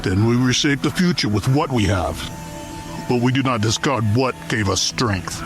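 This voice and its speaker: Male actor as alien military commander, ruthless, grave, measured oratory, audio drama, music behind. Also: mid-range voice, expressive, close to an everyday voice. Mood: resolute. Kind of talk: scripted audio drama acting.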